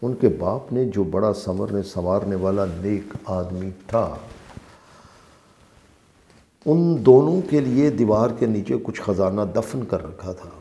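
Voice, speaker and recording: A man reading a religious translation aloud as scripted narration, in a measured, deliberate way with emphasis on phrases. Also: on the low side, animated, dark tone. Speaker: translator and reciter of a Quran translation.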